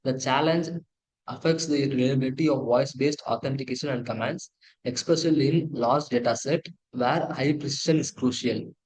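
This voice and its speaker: Male tutorial presenter, Indian accent, mid-pitched, stop-start delivery with repeats and self-corrections. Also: a little mellow, low-quality recording. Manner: halting, repetitive, self-correcting. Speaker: presenter of an engineering project demo. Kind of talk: tutorial walkthrough, partly read from slides.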